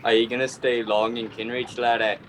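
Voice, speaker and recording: A man's voice: medium pitch, little pitch movement, a little fast, a bit bright, a little hiss or hum, natural voice, poor audio. A man playing a blunt, folksy Scottish donkey cart driver in a radio play, recorded over a home video call. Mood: folksy, discouraging.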